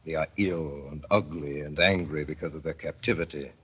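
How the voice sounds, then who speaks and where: A man narrating a 1930s radio drama as a father, in a wry, mournful storytelling manner that slows to dwell on sad images. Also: extremely low pitch, steady tone, breathy edge. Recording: dull old radio broadcast.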